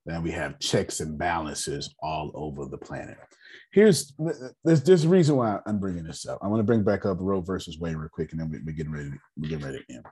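A man in his forties with a raspy voice, hosting a casual group meeting and lecturing in a loose, talkative way. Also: sits low, fairly quick, darkish tone. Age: 40s. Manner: loose, talkative, laughing asides. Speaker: meeting host.

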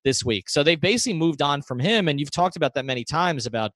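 A man's voice: on the high side, lively intonation, quick pace, a bit bright, no echo. The man you'd hear on a sports podcast recorded in a deadened room, making his case emphatically and repeating himself to drive points home. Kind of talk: conversational sports analysis.

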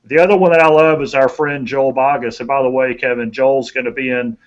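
A man's voice: medium pitch, steady tone, brisk. Man, folksy motivational talker, slightly dull audio. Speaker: podcast co-host and motivational speaker. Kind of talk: conversational motivational advice.

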